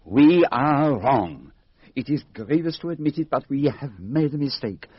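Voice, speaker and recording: An actor playing a courteous, grave detective in a radio mystery, speaking with formal, precise phrasing. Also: male voice, medium pitch, animated, measured pace, breathy edge, dry recording. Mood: gravely contrite. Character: grave, courteous middle-aged detective, formal and self-reproachful. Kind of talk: radio drama acting. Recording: dull, dry radio drama recording.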